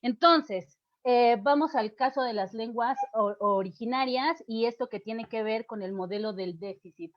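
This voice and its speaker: A woman with a Mexican accent lectures students, raising questions and answering them herself. Her voice sits fairly high, and she often restarts words as she thinks aloud. The recording sounds dull and muffled, like lo-fi lecture audio. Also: normal speed, hardly any pauses. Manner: explanatory, poses questions and answers them, frequent fillers and restarts. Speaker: literature teacher giving a lecture.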